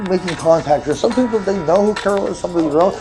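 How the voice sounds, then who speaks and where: A man's voice: high-pitched, quick pace. A man giving a rambling, impassioned personal account, stammering and repeating words in an agitated, insistent way. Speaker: amateur filmmaker telling his own case. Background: music.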